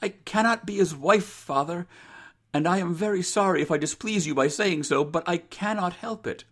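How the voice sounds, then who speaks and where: A man's voice: high-pitched, moderate pace, breathy edge, voice pushed up. A man voicing an earnest, devout young woman, a dutiful but resolute daughter with formal old-fashioned speech. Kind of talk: dramatized reading of an old sentimental tale.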